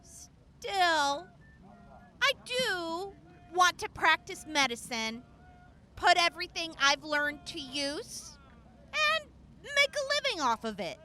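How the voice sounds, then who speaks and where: A woman's voice: very high voice, sing-song, takes it slowly, long pauses, pushed and bright, full character voice. A woman acting in an audio drama as a blunt, sardonic, reluctant field medic with a wry, casual and hesitant manner. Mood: weighing, hesitant.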